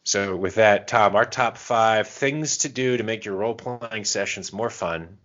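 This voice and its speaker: A man's voice: on the low side, a little slow, a bit bright, muffled recording. A man co-hosting a podcast in casual chat, bantering in a jokey, self-deprecating way. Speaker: podcast co-host.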